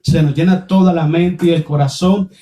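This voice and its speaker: A man's voice: on the high side, steady tone, normal speed, warm tone. A male pastor with a Nicaraguan Spanish accent preaching a didactic sermon, repeating phrases and posing rhetorical questions.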